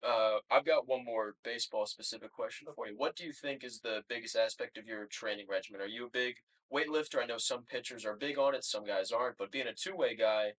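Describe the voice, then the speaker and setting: A man in his twenties co-hosts a sports podcast. His voice sits at an average pitch with a bright, forward edge, and his delivery is casual and conversational. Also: a little fast, few pauses, rough recording. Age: 20s. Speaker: podcast co-host, interviewer. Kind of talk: casual chat. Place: small plain room, indoors, seated.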